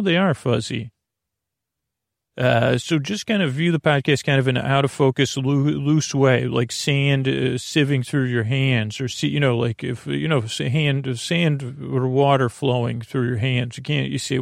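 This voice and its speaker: A man with a creaky voice hosting a sleep podcast, rambling to the listener in a meandering, tangled, self-deprecating way. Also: medium pitch, fairly even intonation, normal speed, dry recording, studio quality. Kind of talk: rambling bedtime monologue to the listener.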